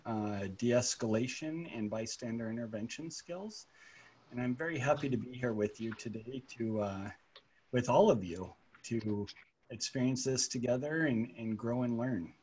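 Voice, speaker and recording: A man's voice: medium pitch, a little mellow, husky. A man heading a peace organization, introducing himself politely and a little hesitantly over a video call. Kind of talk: webinar introduction.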